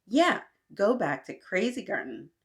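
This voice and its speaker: A woman's voice: mid-range voice, sing-song, measured pace, voice pushed up. A woman voicing taunting, tattling kindergarten children in a storybook, with a teasing sing-song manner. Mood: jeering.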